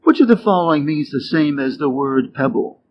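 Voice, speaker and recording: An American man from the Midwest reading short fables and quiz questions clearly and deliberately for English learners. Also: fairly high pitch, expressive. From Wisconsin, USA. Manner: clear, deliberate, rising quiz intonation on answer choices. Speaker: English teacher reading stories. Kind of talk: slow scripted storybook reading for learners. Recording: dull lo-fi sound with faint noise.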